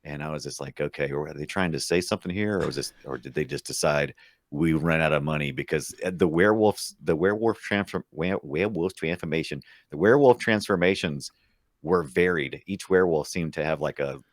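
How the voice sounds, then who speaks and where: Man, very low pitch, dry recording, casual podcast guest, expressive storytelling. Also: brisk, dry recording. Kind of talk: casual movie discussion.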